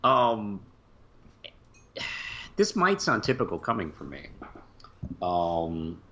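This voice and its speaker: A man co-hosting a podcast in a casual fan discussion, speaking haltingly and often repeating words as he goes. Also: bright, forceful tone. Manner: halting, trailing off mid-phrase and repeating words. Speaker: podcast co-host. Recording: muffled low-fi mic with faint hiss.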